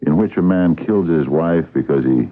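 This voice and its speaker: An actor playing a dogged, wry police captain in a radio mystery, with a gravelly voice and a dry, hesitant, probing manner. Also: male voice, extremely low pitch, normal speed. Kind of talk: radio mystery drama acting. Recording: muffled lo-fi old radio broadcast.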